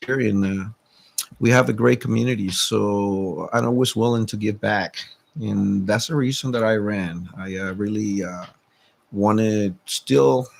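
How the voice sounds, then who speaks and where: A middle-aged man, a city council candidate, speaking earnestly in a radio talk show interview, pausing often with fillers. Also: lowish voice, gravelly. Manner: halting, many fillers, earnest. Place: radio studio.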